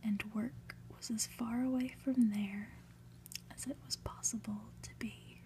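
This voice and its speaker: A woman reading a story aloud for ASMR in a soft, breathy whisper, hushed and deliberate, with pauses. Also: a little high, steady tone, a little hiss or hum. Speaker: host reading a book aloud.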